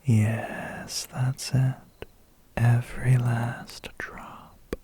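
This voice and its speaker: A man's voice: medium pitch, level tone, very slow, dark tone. A man playing a seductive, predatory vampire biker in an ASMR roleplay, intimate, teasing and theatrical. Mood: dark, coaxing. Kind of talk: whispered ASMR roleplay acting.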